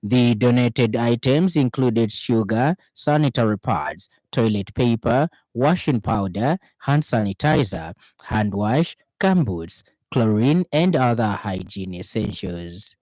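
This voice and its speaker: A male news reader with a Zambian accent delivers a formal radio bulletin. His voice sits at a medium pitch, and he works through the script in measured phrases. The sound is dry, with very little room echo. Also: lively intonation, darkish tone.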